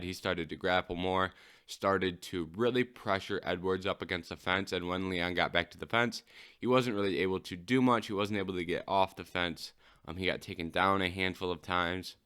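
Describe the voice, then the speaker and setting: A man's voice: low-pitched, fairly bright tone, smooth. A man hosting his own podcast, talking through a fight recap alone in a casual, unscripted way like a keen fan. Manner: keen fan, lingering on a knockout moment by moment. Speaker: podcast host. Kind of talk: solo sports recap podcast.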